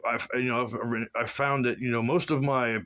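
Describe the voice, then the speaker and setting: A man from South Florida talking in a rambling personal monologue, halting and full of restarts, often trailing into low mumbles. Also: steady tone, fairly bright tone. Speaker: man recounting his life.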